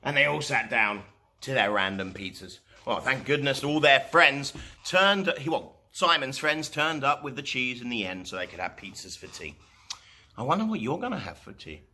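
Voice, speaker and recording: A man narrating a rhyming children's story as a storytime host, in an expressive, dramatic style. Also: mid-range voice, normal speed, a little hiss or hum.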